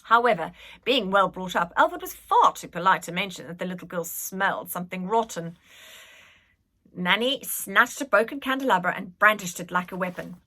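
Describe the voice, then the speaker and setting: Animated, bright-voiced woman reading a storybook. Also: lowish voice, normal speed. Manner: animated, dramatic, with whispered suspense. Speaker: reader, school staff member. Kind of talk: expressive storybook read-aloud for children.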